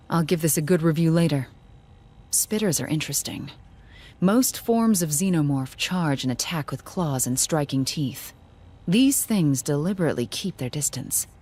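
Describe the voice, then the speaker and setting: An actress playing a curious, blunt scientist in a video game, speaking in an analytical, matter-of-fact way. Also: female voice, deep voice, darkish tone, slight background noise, natural voice. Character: curious, blunt female scientist studying alien creatures. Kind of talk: scripted video game dialogue.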